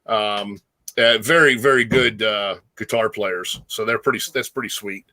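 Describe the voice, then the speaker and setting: Male co-host for casual music talk: forceful, close and dry sound, halting but keen fan delivery. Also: fairly low pitch, dry recording, rough recording. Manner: enthusiastic, halting, slangy. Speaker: guest co-host, metal fan.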